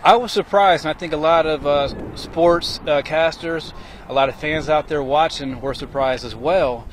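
A man in his thirties, a former player, gives analysis live from an open-air stadium at night. His voice is fairly high, and he weighs his words in a calm, reflective way. A handheld mic picks up faint outdoor noise around him. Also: low-quality recording. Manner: measured, reflective.

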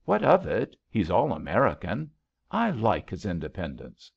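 A man's voice: sits low, wildly swooping pitch, moderate pace, creaky, breathy edge, full character voice. A man voicing a spirited, stubborn young woman in an audiobook love story, eager and direct. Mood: bright, playful. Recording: slightly dull, lo-fi audiobook recording.

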